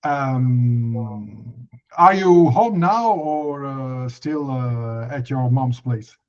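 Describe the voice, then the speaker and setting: A man with an Italian accent hosting a podcast interview, speaking in a halting, deliberate way. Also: medium pitch, animated. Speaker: podcast host. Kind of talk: podcast interview with prepared introduction. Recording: dull, lo-fi microphone sound.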